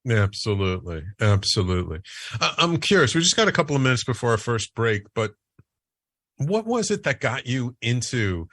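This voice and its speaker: A man hosting a talk show, animated, with frequent stammers and restarts. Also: mid-range voice, normal speed, no echo, clean studio sound. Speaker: host.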